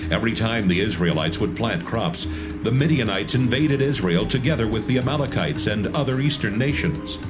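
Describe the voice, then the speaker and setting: A man with a lowish voice narrates scripture steadily and plainly. Music plays clearly beneath him. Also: expressive, normal speed.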